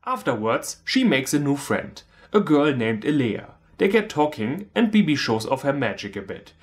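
A man narrates a comedic film review. His medium-pitched voice moves up and down a lot, with a sarcastic, irreverent edge. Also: very animated, normal speed. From Germany. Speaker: movie reviewer, video host. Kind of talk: scripted comedic movie recap narration.

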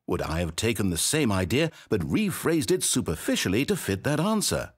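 An actor playing a smug, mocking game narrator who teases the player in dry, sarcastic tones. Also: male voice, on the low side, big pitch swings, normal speed, breathy edge. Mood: sardonic. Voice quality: dry, teasing delivery with sly sarcasm.

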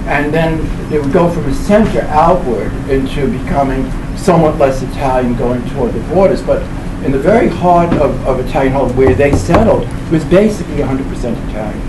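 A man in his seventies giving an informal lecture to a live audience in a halting, discursive way, circling back over his phrases. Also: medium pitch, animated. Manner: halting, discursive, with repeated phrases and self-corrections. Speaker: professor giving a book presentation. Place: indoor library event room. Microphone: camera mic a few meters away. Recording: roomy, distant mic with loud background noise.

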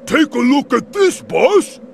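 Big dopey bird henchman, gravelly, raised pitch, lively swings, loyal, eager, video game cutscene. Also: slow pace, slight background noise, exaggerated voice.

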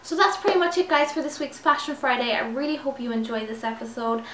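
A woman's voice: high voice, expressive, fast talker, a bit bright, slight background noise. A young woman in her twenties with a slight lisp from new braces, chatting upbeat fashion tips to her viewers. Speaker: fashion vlogger. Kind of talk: casual styling tips to camera. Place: small indoor room with clothes rail. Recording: echoey room, slightly distant mic.

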